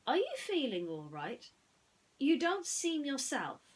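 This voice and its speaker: A woman's voice: sits high, huge pitch swings, slow pace, pitched above the performer's own voice, full character voice. A woman voicing an earnest, concerned male toy leader in a children's story, speaking emphatically.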